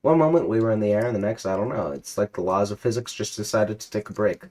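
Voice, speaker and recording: A man's voice: lowish voice, fast, dark tone, lightly acted. A man voicing a wary, bemused male fighter in a text adventure, hesitant and dryly puzzled. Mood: confused. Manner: stammering, cautious, dryly puzzled. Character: wary, bemused man, a snack-mascot brawler.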